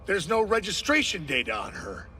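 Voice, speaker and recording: Bemused cartoon match analyst, very high male pitch, slow musing delivery, noisy background. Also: big pitch swings, pushed and bright, full character voice. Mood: puzzled.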